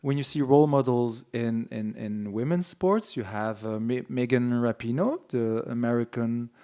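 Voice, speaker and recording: A man's voice: medium pitch, moderate pace, warm tone, smooth. A man in a casual panel discussion who speaks hesitantly, with many fillers and restarts. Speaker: podcast panelist.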